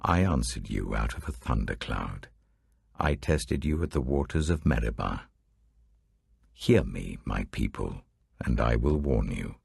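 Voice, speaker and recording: A man voicing God as a grave, commanding male deity with slow, weighty, solemn speech in a scripture reading. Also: extremely low pitch, expressive, creaky, a little mellow, breathy edge, no echo, clean studio sound, voice pushed down, exaggerated voice.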